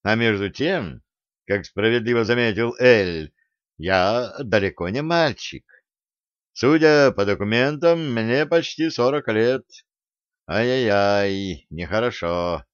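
A man's voice: medium pitch, expressive, unhurried, no room sound, dull audio. A man reading a first-person novel aloud as an audiobook narrator.

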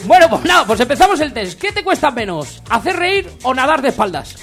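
A man's voice: very high-pitched, very animated, fairly quick, bright, forceful tone, soft background music. A man co-hosting a comedy radio show, joking and laughing as he quizzes a guest. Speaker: radio co-host.

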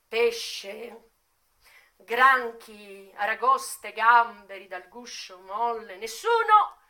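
An actress playing a worldly, wistful retired brothel madam in a theatrical radio monologue, with dramatic pauses and swings between hushed and emphatic delivery. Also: female voice, a little high. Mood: nostalgic, relishing.

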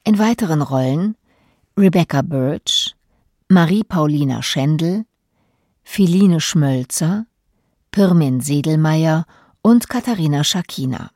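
Woman reading radio credits, slow and mellow, really dry sound. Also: deep voice, expressive, no echo. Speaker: announcer. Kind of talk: scripted radio credits announcement.

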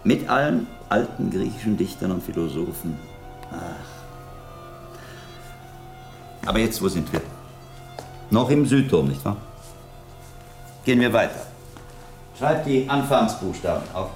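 An actor playing a learned middle-aged monk detective who muses patiently and probingly, thinking aloud in a radio drama. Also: male voice, on the low side, lively intonation, moderate pace, long pauses, darkish tone, soft background music, poor audio. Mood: curious, instructive. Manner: scholarly, musing, thinking aloud. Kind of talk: German radio drama acting.

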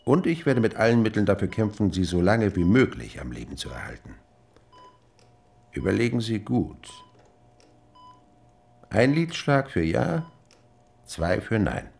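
A grave radio-drama doctor with a low, gravelly voice. He speaks solemnly and deliberately, weighing his words, yet his intonation moves widely. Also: huge pitch swings, darkish tone, full character voice. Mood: grave, insistent. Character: grave, conscientious male hospital doctor. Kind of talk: German radio drama acting.

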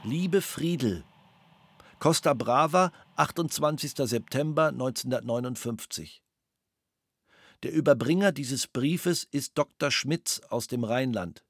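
A man reading documents aloud in a radio play at a slow, measured pace, close to a studio mic. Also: medium pitch, breathy edge, no echo.

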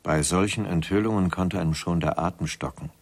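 Very deep, husky, grave narrator. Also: male voice. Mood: grave, mysterious. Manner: measured, ominous storytelling. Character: grave male narrator of a mysterious village tale. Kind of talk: scripted radio drama narration.

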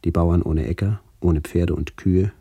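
A man with a very low, dark voice narrates a literary radio documentary. His phrasing is measured and broken into fragments, and the sound is dry and close. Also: fairly even intonation, creaky, husky, dry recording. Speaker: narrator recalling childhood memories.

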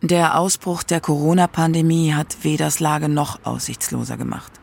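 A narrator reading a scripted radio documentary in a measured, prepared way. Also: medium pitch, steady tone, vocal fry.

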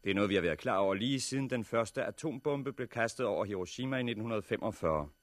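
A man acting a composed leader addressing a meeting in a radio drama. The voice is low and closely recorded, with formal, measured, courteous delivery. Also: no echo, close to an everyday voice. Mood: resolute, grave. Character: composed male leader chairing a survivors' meeting.

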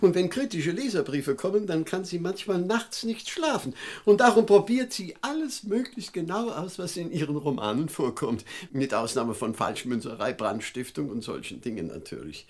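An actor playing a courteous, fussy gentleman professor in an old German radio crime play, talking politely and with animation. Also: a little high, very animated, moderate pace, few pauses. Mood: explaining, mild.

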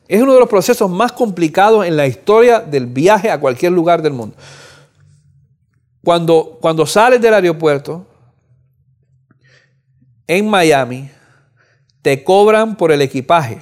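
A man in his fifties teaches a Bible lesson in a somewhat high voice. He speaks earnestly, repeating words as he thinks and climbing in pitch when he stresses a point. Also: a little mellow. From Cuba. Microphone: audio recording.

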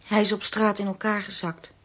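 A woman's voice: mid-range voice, flat delivery, normal speed, fairly bright tone, husky. A woman acting a polite, bereaved wife in a radio play, courteous and subdued. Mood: wistful, composed. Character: polite bereaved wife hosting visitors with tea. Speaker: actress in a radio drama.

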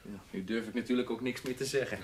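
Man acting shy chatty architect, medium pitch, polite, tentative, radio drama, slight noise. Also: fast, close to an everyday voice. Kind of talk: Dutch radio play acting.

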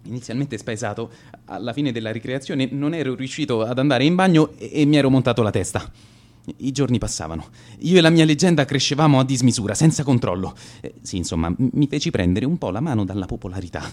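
A man's voice: medium pitch, animated, quick pace, darkish tone, close to an everyday voice. An actor playing a cowardly teenage schoolboy who boasts as an accidental bully, telling his story in a radio drama monologue with stammered hesitations. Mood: wry, confiding.

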